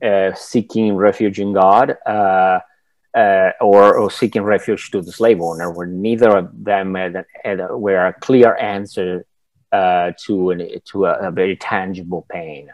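An Italian-accented man in his forties answering interview questions from home, his lowish voice picked up dry and close by earphone mics. He pauses and restarts often, feeling his way toward each thought. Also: fairly even intonation, a little mellow, no echo, low-quality recording. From Italy. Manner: thoughtful, halting, searches for words with many restarts. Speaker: film director, masterclass guest. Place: home room, indoors. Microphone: wired earphones with mic on a video call.

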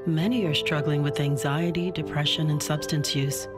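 A woman's voice: low-pitched, steady tone, moderate pace, loud music behind the voice. A woman announcer reading a scripted public service announcement.

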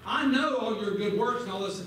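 A man leads a Bible lesson from the front of a large church, his voice pitched high and fervent as he quotes scripture and presses his listeners. The sound is heavily echoey, heard from a camera far back among the pews. A faint hiss of room noise sits underneath. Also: brisk, a bit bright. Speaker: Sunday school teacher. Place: large church sanctuary, indoors.

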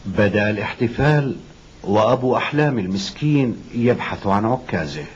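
A man as a calm cartoon narrator with a low voice. He tells the story in scripted style. The sound is slightly dull with faint noise. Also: normal speed, natural voice. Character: calm adult male storyteller.

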